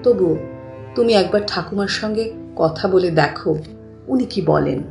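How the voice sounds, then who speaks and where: Woman reading as gentle young bride, roomy. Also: lowish voice, animated, unhurried, gravelly. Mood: gentle, concerned. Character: considerate, gentle young bride, polite and selfless. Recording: roomy, distant mic, music bed.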